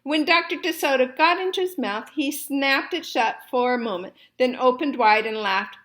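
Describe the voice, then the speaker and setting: A woman storyteller and teacher reading aloud to children expressively, with deliberate phrasing. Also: sits high, fairly bright tone.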